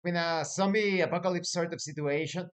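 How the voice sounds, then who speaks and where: A man hosting a tabletop gaming advice video as a game master, speaking in a deliberate, emphatic way with dramatic lists. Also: high-pitched, steady tone, clean tone. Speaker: host, professional game master.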